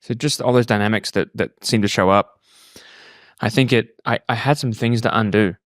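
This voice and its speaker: Low-voiced Australian man, dry close sound. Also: no echo. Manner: halting, repeats words as he thinks. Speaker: podcast guest. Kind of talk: personal conversation, reflective storytelling.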